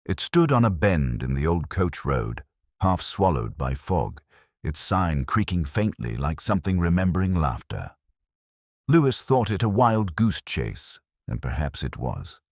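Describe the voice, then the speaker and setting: Very deep-voiced man narrating a mystery. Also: big pitch swings, unhurried, no room sound, clean studio sound. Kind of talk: first-person scripted detective story narration.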